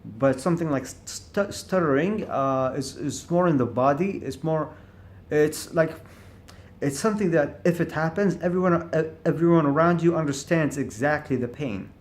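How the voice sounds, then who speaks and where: A man's voice: on the high side, moderate pace. A man talking alone in a reflective vlog, stuttering on words and restarting often as he thinks aloud. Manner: stutters with repeated syllables and restarts; thinks aloud, hesitant and searching. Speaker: vlogger. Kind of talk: reflective monologue to camera.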